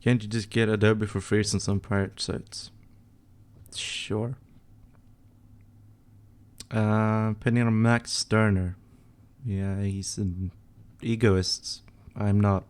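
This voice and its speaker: A man's voice: lowish voice, flat delivery, slow pace, a little mellow, clear, resonant tone. A young man from Sweden hosting a casual livestream, reading out chat questions and answering in an offhand, mumbling way, swearing under his breath as he works. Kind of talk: casual livestream chat while editing images.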